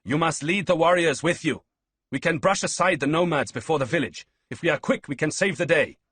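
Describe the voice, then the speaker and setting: A man voicing a stern Roman commander who gives urgent orders in a low, husky voice. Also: a little fast, no echo.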